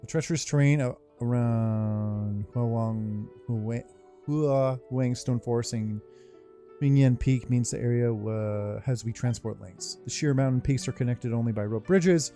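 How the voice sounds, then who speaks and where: A man's voice: mid-range voice, unhurried, dark tone. A man casually commenting on a game playthrough and reading its text aloud in an offhand way. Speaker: gaming video host. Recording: faint game music behind voice. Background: game music.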